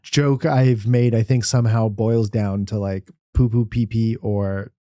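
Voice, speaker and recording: A young man with a mellow, relaxed tone talks in a loose, rambling way, dropping "dude" and "like" all through his sentences. He is recorded on a dry, close mic. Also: fairly low pitch, a little slow, no echo. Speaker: guest comedian. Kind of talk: casual podcast chat.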